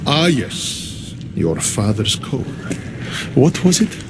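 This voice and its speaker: Male video game underworld god, sarcastic, theatrical, taunting drawl, very animated pitch, unhurried. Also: noisy background. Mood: mocking, bored. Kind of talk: video game cutscene acting.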